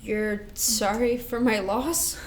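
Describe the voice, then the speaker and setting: Woman acting responsible grieving elder sister, composed, fairly high voice, stage drama reading. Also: steady tone, slow pace, slight background noise. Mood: guarded, tense.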